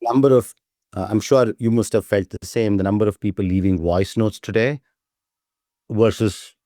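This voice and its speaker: A man's voice: lowish voice, steady tone, moderate pace, dark tone, no echo, clean studio sound. A man talking as a startup CEO guest in a casual interview, keen and eager, often tagging his sentences with "right?". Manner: enthusiastic, repeats "right?" as a tag.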